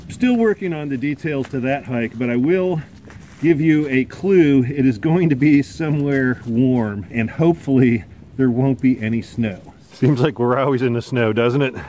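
Middle-aged man, hiking vlogger, relaxed chatty delivery, camera at arm's length, outdoors in woods. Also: medium pitch, slight background noise. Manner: relaxed and chatty, many filler "uh"s. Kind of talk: casual vlog to camera. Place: under a tarp in snowy woods, outdoors.

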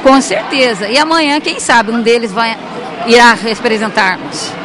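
A woman's voice: a little high, moderate pace, crowd noise, background noise. A woman in her fifties interviewed in a school hall, giving an earnest, thoughtful opinion. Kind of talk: street interview. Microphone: handheld TV mic held by reporter.

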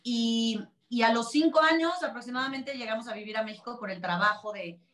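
A woman in her forties with a Mexican accent, Catalan her first language, talks in a chatty, animated way with plenty of restarts. Her voice sits a little high. The video call picks up a roomy echo around her. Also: fairly quick. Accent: Mexican Spanish. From Spain. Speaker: interview guest, TV presenter. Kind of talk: casual interview chat. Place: small room, video call.

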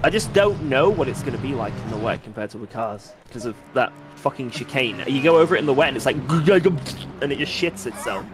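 Young male teammate on headset, animated delivery, casual cursing, music in background. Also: mid-range voice, a little fast. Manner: excitable, swears casually. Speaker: teammate on voice chat. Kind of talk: casual banter over voice chat.

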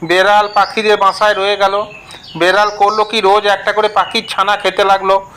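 A male storyteller with a notably high voice reads a children's fable in an even, measured way. There is a faint hiss of background noise in the recording. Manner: steady, storytelling. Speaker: narrator. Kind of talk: scripted narration of a children's fable.

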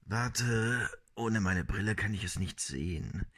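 An actor playing a reluctant, sarcastic police officer with a husky voice in a radio play. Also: male voice, fairly low pitch, slow pace, a bit bright, exaggerated voice. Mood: dry, irritated.

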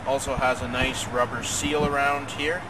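A young man giving a halting, plain product demonstration outdoors, picked up by a camera a few metres away. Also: medium pitch, little pitch movement. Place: outdoors in an open paved lot. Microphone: camera mic a few metres away. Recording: distant, heavy outdoor traffic noise.

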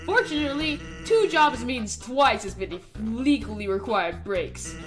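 A woman's voice: a little high, animated, measured pace, over music, voice pushed down. A woman voicing a laid-back, lazy male skeleton from a game.

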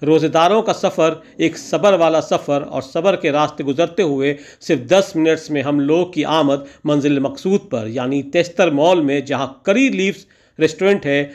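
A man's voice: fairly high pitch, moderate pace, a little mellow. A man narrating a vlog as a scripted voice-over, with ornate, poetic and theatrical phrasing. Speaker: vlogger narrating.

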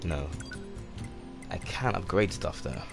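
Twenties male streamer, deep and mellow, laid-back rambling talk, game music clearly audible underneath. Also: slow. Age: 20s. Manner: soft-spoken, relaxed, rambling with many fillers. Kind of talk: casual gameplay commentary. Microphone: condenser microphone.

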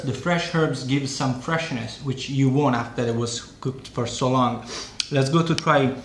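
Slow-talking Sicilian-accented man, echoey room. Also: mid-range voice, slight background noise. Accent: Sicilian Italian. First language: Italian. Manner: unhurried, hesitant with many fillers, relishing tastes. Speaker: cooking show host. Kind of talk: casual cooking demonstration. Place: home dining room, indoors.

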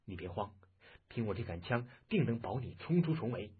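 Man reading stern young village hero, firm and unshaken; husky, lo-fi. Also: medium pitch, brisk. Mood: calm resolve. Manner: firm, steady, calm under threat. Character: brave stern young male village swordsman. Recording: lo-fi phone-like audio.

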